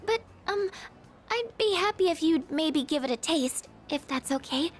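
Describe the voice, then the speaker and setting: Game role: shy, eager-to-please schoolgirl, extremely high voice, soft and halting delivery. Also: animated. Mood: shy, hopeful. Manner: soft-spoken, hesitant, apologetic. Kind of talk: English video game voice acting.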